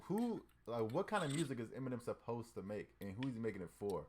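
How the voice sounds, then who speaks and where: A man's voice: medium pitch, sing-song, fast, darkish tone, a little hiss or hum. A man giving his opinion casually as a podcast co-host, candid and repeating himself for emphasis.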